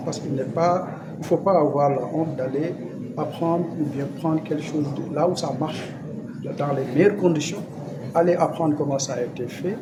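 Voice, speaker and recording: A man with a Senegalese accent giving an unscripted, formal and didactic statement to the press as an official. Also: fairly high pitch. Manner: formal and didactic, posing and answering his own questions. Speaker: government official. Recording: loud background noise, event ambience.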